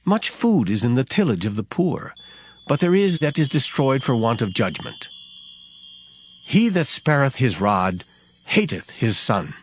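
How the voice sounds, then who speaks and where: A man's voice: mid-range voice, sing-song, unhurried, a little hiss or hum. A man reading Bible verses in an emphatic, formal, dramatized style. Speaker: scripture reader. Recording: music behind the voice. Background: background music.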